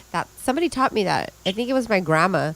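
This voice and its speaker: A woman's voice: a little high, low-quality recording. A woman in her late thirties co-hosting a podcast, chatting casually, laughing often and swearing freely. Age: 30s. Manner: giggly, profane, animated storytelling. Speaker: podcast co-host. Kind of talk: casual chat.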